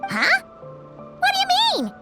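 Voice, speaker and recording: A woman voicing a tiny, excitable fairy girl companion in a game, with a squeaky, childlike, inquisitive delivery. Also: slow pace, over loud music, exaggerated voice. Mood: puzzled, indignant. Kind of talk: animated game voice acting.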